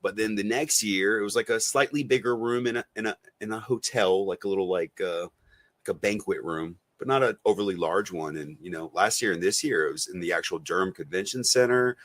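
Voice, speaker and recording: Deep-voiced man chatting on a podcast. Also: a little fast, a bit bright, no room sound. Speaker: podcast co-host. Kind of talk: casual chat among friends.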